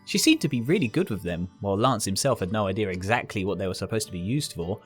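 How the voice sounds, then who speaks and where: Low-pitched man narrating a story. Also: expressive, fast talker, husky, soft background music. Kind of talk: scripted fan fiction story narration.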